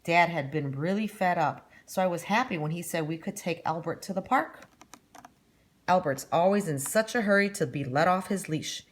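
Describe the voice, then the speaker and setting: A woman's voice: lowish voice, normal speed, lightly acted. A cheerful young girl from a storybook played by a woman reader. She is frank and friendly, chatting her way through her tale. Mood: warm, chatty. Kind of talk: storybook read-aloud.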